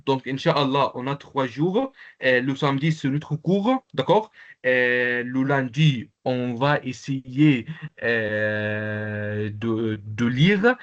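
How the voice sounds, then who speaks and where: A man from the Comoros whose first language is Arabic, teaching an online class in a halting way, with many fillers and repeated words. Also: mid-range voice, fairly bright tone. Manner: repetitive, halting, full of fillers. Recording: dull lo-fi online call audio.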